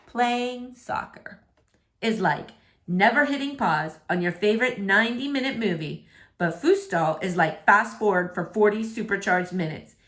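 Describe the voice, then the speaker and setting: A woman reading a verse novel aloud as an expressive storyteller. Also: mid-range voice, a little slow. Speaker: book reader.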